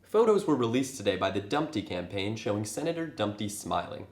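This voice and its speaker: A man's voice: lowish voice, big pitch swings, a little fast. A man playing a fairy tale news anchor, reading formally with wry touches. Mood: newsy, wry. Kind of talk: mock TV newscast, scripted. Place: indoor studio set. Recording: echoey room.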